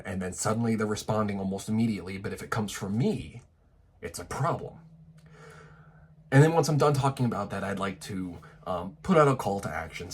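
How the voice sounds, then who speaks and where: Lowish-voiced man, frank and rambling. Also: slightly rough. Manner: frank, swears a lot, rambling with restarts. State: stuffy, getting over a cold. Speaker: vlogger. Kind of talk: candid personal vlog rant. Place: apartment in Tokyo.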